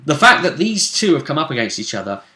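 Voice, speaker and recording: A man commentating as host on a fantasy role-play tournament, with deliberate, halting phrasing. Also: a little high, sing-song, sharp tone. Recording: roomy, echoing sound.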